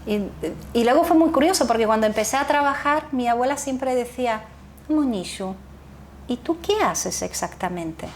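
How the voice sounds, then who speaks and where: Animated woman telling family stories. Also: on the high side, normal speed, a little hiss or hum. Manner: animated, laughs often, restarts words. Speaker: guest, association founder. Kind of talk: casual interview storytelling.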